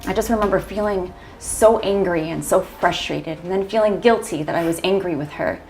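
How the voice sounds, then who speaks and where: A woman in her thirties speaking in a measured, reflective way in a documentary interview. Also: on the low side, a little mellow. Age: 30s. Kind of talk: documentary interview, personal testimony. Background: faint music.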